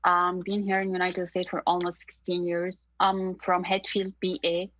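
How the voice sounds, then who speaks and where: A woman from Egypt, a meat plant worker, asking her question haltingly with many fillers. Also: on the low side, completely flat delivery, a bit bright, no room sound, dull audio.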